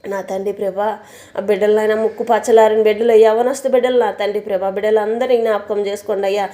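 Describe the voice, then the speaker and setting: Woman, fervent intercessory prayer, rapid delivery, mellow tone, pleading, repetitive invocations. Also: mid-range voice, fairly even intonation. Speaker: prayer leader.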